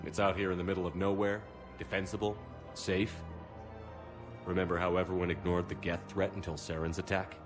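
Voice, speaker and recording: A tough military hero played by a man with a very deep voice and brisk, blunt phrasing. He sounds dry and assured, in game cutscene style over clear background music. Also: flat delivery, lightly acted. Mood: matter-of-fact. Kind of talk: video game voice acting. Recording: music under the dialogue.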